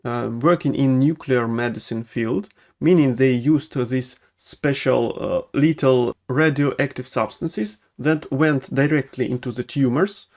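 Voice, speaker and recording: Non-native English-speaking male doctor, halting explainer with many "uh"s, mid-range voice. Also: medium pitch, a little mellow. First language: not English. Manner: hesitant, many fillers and restarts. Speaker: oncologist. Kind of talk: educational medical explainer.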